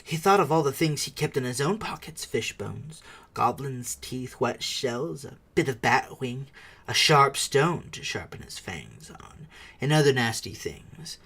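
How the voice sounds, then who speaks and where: A man narrating a storybook dramatically, moving theatrically between hushed and emphatic delivery. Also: medium pitch, expressive, unhurried, breathy edge. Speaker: audiobook narrator.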